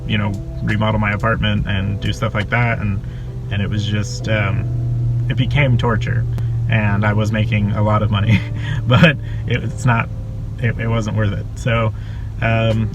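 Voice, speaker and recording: A young man from Costa Rica talks about his life in a relaxed, easygoing way, his voice sitting fairly low. He pauses with fillers and light laughs. Music plays clearly behind him. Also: dull audio. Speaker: interviewee. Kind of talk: casual personal storytelling. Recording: interview with background music.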